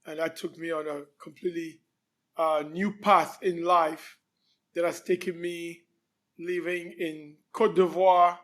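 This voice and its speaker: A Cameroonian man in his fifties with a fairly high voice tells his life story as an interview guest. He speaks in a relaxed way, with frequent pauses and fillers. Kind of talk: conversational interview.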